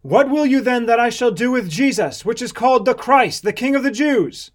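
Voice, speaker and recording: A man's voice: high-pitched, sing-song, slow, a little mellow, pitched above the performer's own voice, exaggerated voice. A man voicing a troubled Roman governor, commanding yet pleading, in emphatic declamation within a dramatized scripture reading. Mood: exasperated, pleading. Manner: emphatic, declamatory public address.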